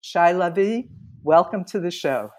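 Measured woman host, lowish voice. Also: lively intonation, darkish tone. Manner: measured, formal delivery. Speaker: podcast host. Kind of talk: scripted introduction and interview questions.

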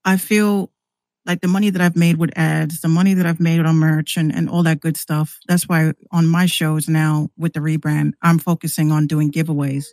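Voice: mid-range voice, flat delivery, normal speed, a little mellow, no room sound. Casual podcast talker with a close, dry sound. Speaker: podcast guest, radio show host. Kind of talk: casual conversational talk.

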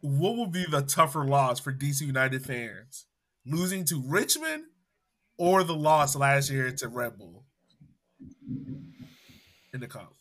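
A man with a bright, forceful voice and a very lively pitch asks questions in a casual podcast chat. His delivery swoops up and down with energy. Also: slow pace, pauses often.

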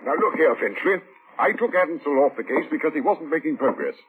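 An actor playing an irritable, overbearing British police commissioner in an old radio drama, brusque and impatient. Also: male voice, fairly high pitch, animated, fast talker, a bit bright. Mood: blustering, impatient. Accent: British English. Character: irritable, overbearing senior police commissioner, male, demanding results. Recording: noisy old radio recording.

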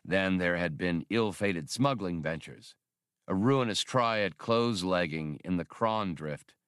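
Dude narrating a sci-fi audiobook, calm and even, low voice. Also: male voice, clean tone, dry recording, studio quality. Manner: measured, steady storytelling.

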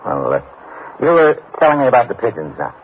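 An actor playing a wry, colloquial American undercover investigator, narrating and acting in an old radio drama. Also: male voice, medium pitch, big pitch swings, brisk, a little hiss or hum, close to an everyday voice. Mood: casual. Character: wry, hard-boiled undercover investigator narrating his case. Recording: tinny old radio recording with music.

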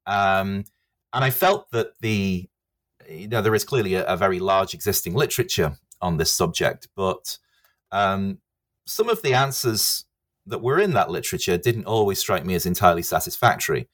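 A middle-aged man from England, a history professor speaking as a podcast guest with many pauses and restarts. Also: sits low, animated, a bit bright. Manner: hesitant, many uhs and restarts. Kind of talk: podcast interview.

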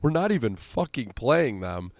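A man's voice: medium pitch, sing-song, moderate pace, no room sound, studio quality. A man with a central Illinois accent, hosting a casual sports podcast with exasperated, sweary rambling.

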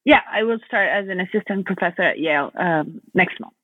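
A woman's voice: lowish voice, fairly quick, no room sound. Woman guest on a tinny, dry phone-like line. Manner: thoughtful, searching for words. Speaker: guest author, philosopher. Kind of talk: conversational interview answers.

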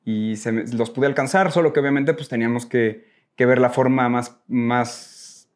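A Mexican man with a mid-pitched voice is the guest in an interview. He tells his own stories informally, often rambling and correcting himself along the way. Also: steady tone, normal speed. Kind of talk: casual personal storytelling.

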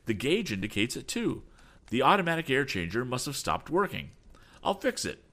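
A man's voice: low voice, huge pitch swings, creaky, a bit bright, full character voice. A man voicing an earnest young male inventor who exclaims urgently in an adventure storybook. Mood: startled, urgent. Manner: quick, startled exclamations.

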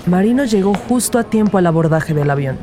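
A woman's voice: lowish voice, lively intonation, fairly quick, mellow, natural voice. A woman playing a rookie detective who narrates her case in a scripted crime audio drama. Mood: grave, measured.

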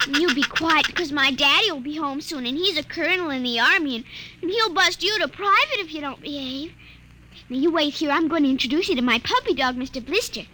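An actress playing an excitable, moody young girl in an old radio drama, childlike and pleading. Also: sits high, brisk. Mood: excited. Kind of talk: 1950s radio drama acting. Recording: noisy old radio recording.

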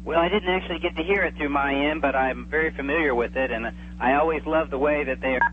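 A man's voice: fairly high pitch, quick pace. A man chatting enthusiastically as an expert guest in a casual radio interview, calling in by telephone. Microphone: telephone call. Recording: tinny phone line with hum and hiss.

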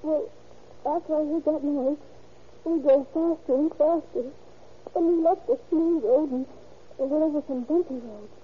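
A woman playing a frightened, earnest thirteen-year-old girl in a classic radio drama, polite and halting as she speaks. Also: high voice, natural voice. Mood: breathless recounting. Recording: tinny, noisy old radio recording.

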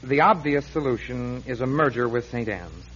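An actor playing a polite, awkward young priest in a radio drama, earnest and slightly husky, on a muffled recording. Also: male voice, mid-range voice, lively intonation, moderate pace, a bit bright. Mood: concerned. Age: young adult.

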